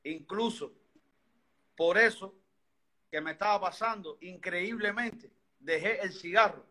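A man's voice: high-pitched, a little slow, bright, forceful tone. A Cuban man in his thirties, a guest earnestly telling a personal story with many restarts. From Cuba. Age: 30s. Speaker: interview guest.

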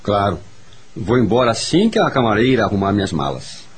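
A callous, sardonic gentleman in a Brazilian radio drama. His low voice is rough and husky, with lively swings in intonation. He is curt and dismissive. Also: brisk, full character voice. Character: callous, sardonic husband and mansion co-owner.

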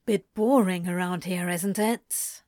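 A woman's voice: lowish voice, takes it slowly, a little mellow, no room sound, studio quality. A woman voicing a casual, teasing male demon who coaxes and tempts, in a story reading. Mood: laid-back.

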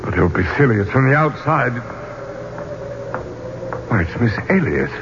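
An actor playing an obsessed, grandiose gentleman archaeologist with theatrical, rhetorical delivery in a 1970s radio drama. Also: mid-range voice, very animated, a little slow. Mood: impatient. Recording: dull, noisy old radio recording.

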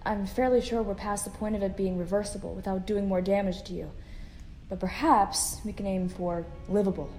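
A woman's voice: medium pitch, steady tone, moderate pace, mellow, faint music behind, close to an everyday voice. A woman playing a starship officer who is firm but kind with a young crewman. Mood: calm. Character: caring, steady female starship officer. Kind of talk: audio drama acting.

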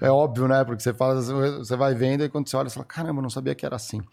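A male podcast co-host with a mid-range voice. He chats in a relaxed, easygoing way, agreeing often and keeping the conversation moving. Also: mid-range voice, racing, creaky. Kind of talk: casual podcast chat.

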